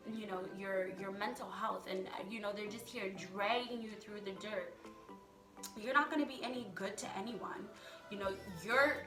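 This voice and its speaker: Young female vlogger, candid and emphatic, casual motivational chat; roomy echo, clear music bed. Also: fairly high pitch. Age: young adult. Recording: echoey room, music underneath.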